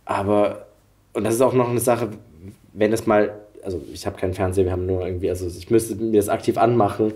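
A man's voice: on the low side, steady tone, fast talker, warm tone. A man hosting a podcast in a casual, rambling way, hesitating and thinking aloud as he goes. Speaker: podcast host and interviewer. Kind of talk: casual chat.

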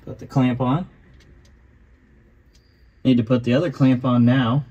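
Guy talking thru a car repair, calm plain explaining, mid-pitched voice. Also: unhurried, breathy edge, dull audio. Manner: calm, matter-of-fact explaining. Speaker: host of a car restoration channel. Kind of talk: voice-over narration of a repair.

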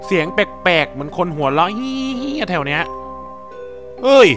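Excitable pro villager dude, a man voicing him, talks to himself, highish voice, music behind. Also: moderate pace. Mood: jumpy. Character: cocky pro villager man, jumpy and loud. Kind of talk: Thai cartoon dub of game footage. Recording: music playing under voice. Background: background music.

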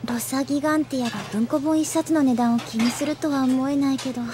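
Woman acting a high voiced polite schoolgirl, anime audio drama, matter of fact and a bit tart. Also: steady tone, fast, noisy background, natural voice. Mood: puzzled, reasoning. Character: sharp, sensible, polite teenage schoolgirl.

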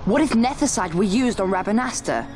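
A man playing a polite, diplomatic young imperial prince in a video game cutscene, speaking formally and in measured phrases over music. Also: high voice, expressive. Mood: urgent persuasion. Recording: orchestral music behind the voice.

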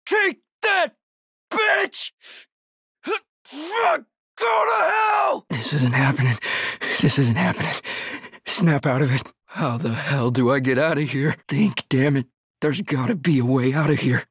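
A young man struggles to survive in a horror video game. His voice is very rough and breathy, with urgent, tense delivery. He sounds frightened but set on getting out, in a dry, close recording. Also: a little high, dry recording, full character voice. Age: young adult. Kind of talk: dramatic game voice lines.

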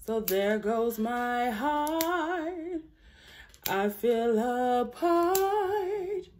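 A young woman with a deep alto voice practicing a song in her bedroom, singing along to a track and chatting to her viewers between lines. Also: lively intonation, extremely slow, darkish tone, a little hiss or hum. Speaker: vlogger learning a song. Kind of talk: casual singing practice vlog. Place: small bedroom indoors. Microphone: phone camera held close.

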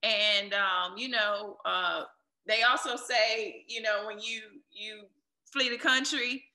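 A woman speaks as a guest in a casual interview. Her voice is strikingly bright and sharp, at a middling pitch. She talks in an encouraging, motivational way, and the sound is noticeably echoey. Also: fairly even intonation, slow. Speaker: interview guest, air traffic controller and DJ. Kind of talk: casual conversational interview answers.

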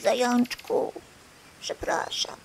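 A woman's voice: slow pace, natural voice. An actress voicing a guilty young male bear cub who mumbles hesitantly in a children's radio play. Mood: sheepish. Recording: noisy background.

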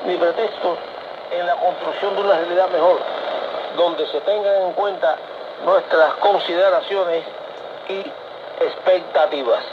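A man's voice: high voice, fairly even intonation, moderate pace, long pauses. A male radio presenter reading scripted broadcast lines, heard over shortwave AM radio. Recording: dull, noisy shortwave AM broadcast.

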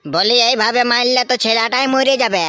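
Cartoon granny, put on by an actor: nagging, defensive village wife, rural West Bengal accent, sharp quick delivery. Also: mid-range voice, close to an everyday voice. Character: nagging, gluttonous old village wife and grandmother. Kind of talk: comedy cartoon dubbing. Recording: music behind the voice.

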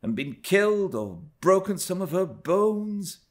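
A man's voice: high-pitched, sing-song, measured pace, pitched above the performer's own voice. A man voicing a stern but fond woman housekeeper, emphatic and reproachful. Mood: anxious.

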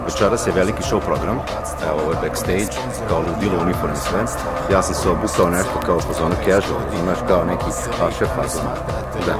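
A man's voice: low-pitched, quick pace. A young man from Serbia chatting casually into a camera as he vlogs. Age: young adult. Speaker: vlogger. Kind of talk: casual vlog chat. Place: ship backstage room. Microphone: camera at arm's length. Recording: loud music behind voice.

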